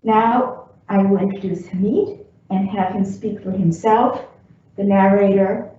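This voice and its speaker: Female event host, formal and deliberate, slow delivery with a soft dark tone, heard across an echoey room. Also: mid-range voice. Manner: deliberate, rhetorical repetition. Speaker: event host introducing a guest speaker. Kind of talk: formal spoken introduction. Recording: echoey room from far off, muffled, faint noise.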